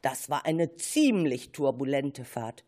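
A woman's voice: a bass voice, expressive, slow, noisy background. A woman narrating a children's story expressively, with playful asides.

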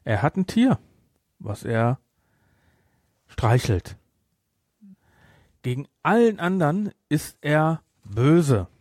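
A man with a German accent chatting casually about a film as a podcast host, with an animated, teasing manner. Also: on the high side, slow, long pauses, no echo, clean studio sound. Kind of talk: casual chat, film discussion.

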